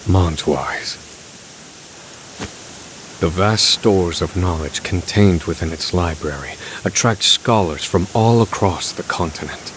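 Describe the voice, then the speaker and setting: Cold exacting scholar, very low voice, blunt, measured, brooding game drama delivery. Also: male voice, a bit bright, a little hiss or hum, poor audio. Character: stern, intense scholar of magic, cold and exacting.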